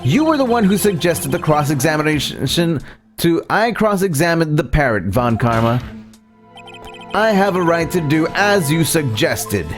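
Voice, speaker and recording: Man playing an earnest young lawyer, lively pitch. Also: normal speed, over music. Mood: determined. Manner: declarative, insistent. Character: earnest young male defense lawyer, stubborn and bluffing. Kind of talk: voiced playthrough of a courtroom game.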